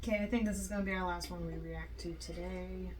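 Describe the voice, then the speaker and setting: A woman hosting a reaction video, giving casual, expressive commentary in an informal way. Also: fairly low pitch, quick pace, far from the mic. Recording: clearly audible background noise.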